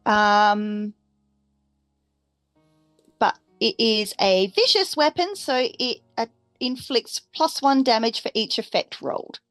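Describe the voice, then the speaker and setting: A woman's voice: fairly high pitch, animated, takes it slowly, a bit bright, dry recording, faint music behind. A woman playing a tabletop roleplaying game, chatting casually and thinking aloud hesitantly.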